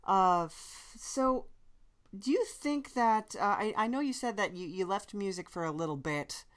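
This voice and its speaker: A woman's voice: mid-range voice, animated, unhurried. A woman hosting a casual interview, asking questions in an informal, hesitant way. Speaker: podcast interviewer.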